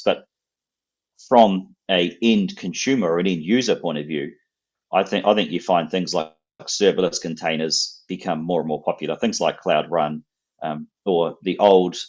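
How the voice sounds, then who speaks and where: A man's voice: low voice, dull audio. A man with a New Zealand accent, a guest casually explaining technical ideas and often repeating words as he thinks.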